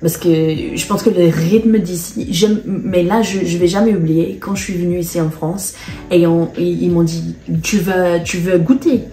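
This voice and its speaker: English woman chatting in french, hesitant, low mellow voice, echoey room. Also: fairly even intonation, unhurried. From England. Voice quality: hesitant, searching for words in French. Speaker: co-host. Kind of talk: casual couple chat.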